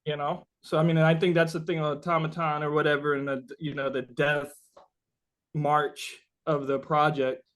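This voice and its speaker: Casting: male musician in an interview, fairly high voice, casual, halting, thinks aloud. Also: flat delivery, fairly bright tone. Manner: halting, searching, rambling explanations.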